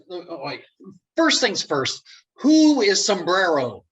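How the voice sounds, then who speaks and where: A man playing an awkward, earnest reluctant hero with halting, dry understatement in a comedic script reading. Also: sits high, wildly swooping pitch, slightly rough, exaggerated voice, poor audio. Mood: stunned. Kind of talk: comedic script table read.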